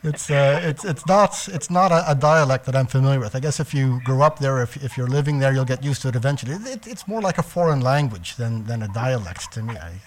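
A man hosting a radio interview, asking measured questions with hesitant pauses. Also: mid-range voice, animated. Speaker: radio show host interviewer.

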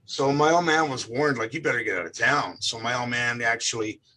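A man's voice: mid-range voice, a little fast, poor audio. Older Canadian man, rambling storyteller. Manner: rambling, repeats words, animated when quoting. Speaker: guest musician. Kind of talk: relaxed storytelling.

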